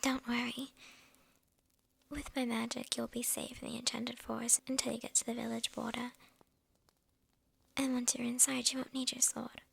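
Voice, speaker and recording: A woman acts out a possessive witch in an intimate ASMR roleplay. Her voice is a breathy, fairly high whisper with a strong creak in it. She speaks coyly to the listener, amused and slyly menacing. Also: little pitch movement, fairly bright tone, heavily acted, poor audio. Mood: bossy, amused. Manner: unhurried, coy, amused, addressing the listener intimately.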